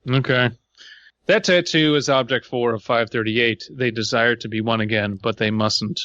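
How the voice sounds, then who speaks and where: A man's voice: mid-range voice, steady tone, moderate pace, sharp tone, no room sound, muffled recording. A man co-hosting a podcast, reacting and reading things out in casual group chat. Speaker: podcast host.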